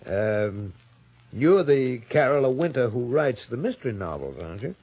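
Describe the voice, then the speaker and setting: A plain-talking police sergeant in an old radio mystery. His husky voice rises and dips freely as he asks halting, folksy questions. The recording is muffled and dated. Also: mid-range voice, big pitch swings. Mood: folksy, inquisitive. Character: plain-spoken, folksy male police sergeant, inquisitive and hesitant. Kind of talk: 1950s radio drama acting.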